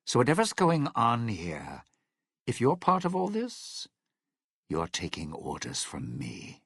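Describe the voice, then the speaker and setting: An actor playing a cynical, threatening entertainer and sly survivor in a video game, talking with wry, smooth menace. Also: male voice, medium pitch, huge pitch swings, takes it slowly, a bit bright, no room sound, clean studio sound, full character voice. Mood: tense, wary. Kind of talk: video game character dialogue.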